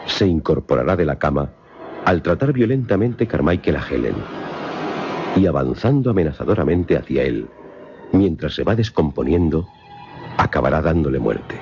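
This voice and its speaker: A man narrating a scripted film synopsis in a measured, formal, dramatic storytelling manner. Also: rumbling low. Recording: slightly muffled voice-over with music. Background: music.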